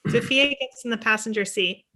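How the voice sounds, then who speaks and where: A woman's voice: fairly high pitch, brisk, bright, forceful tone. A young woman reading scripted stage directions as narrator on a video call. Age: young adult.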